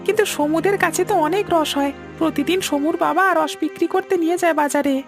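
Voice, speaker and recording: Squeaky, eager little boy. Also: fast talker, faint music behind. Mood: pleading, eager. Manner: childlike, pleading. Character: eager, pleading little village schoolboy son. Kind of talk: Bengali cartoon story dubbing.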